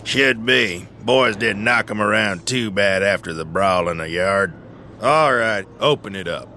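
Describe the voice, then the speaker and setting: An actor playing a prison guard who talks in a matter-of-fact way. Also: male voice, fairly low pitch, measured pace, pushed and bright, a little hiss or hum.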